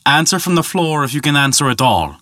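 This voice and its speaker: A man's voice: on the high side, big pitch swings, a little fast, bright, forceful tone, dry recording, studio quality. A man voicing a proud, menacing giant riddle master who questions formally and challengingly, in a storytelling podcast.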